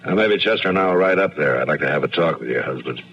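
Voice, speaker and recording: Steady, courteous American Old West lawman; extremely low voice, understated, dull vintage radio recording. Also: fast talker. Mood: calm, reassuring. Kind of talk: old-time radio western acting. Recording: muffled old radio broadcast, faint noise.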